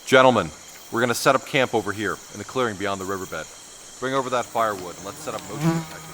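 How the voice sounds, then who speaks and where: An actor playing a wry, protective expedition leader in an audio drama, with a dry, clipped, commanding way of talking. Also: male voice, fairly low pitch, lively intonation, fast talker, a bit bright, slight background noise, poor audio. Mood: brisk, commanding.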